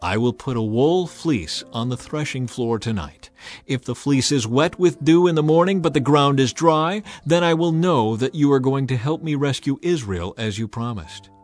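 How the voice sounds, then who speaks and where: A man's voice: mid-range voice, normal speed, natural voice. A man voicing Gideon, a humble, doubting and fearful Israelite in an audio Bible drama, earnest and pleading. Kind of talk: dramatized scripture reading.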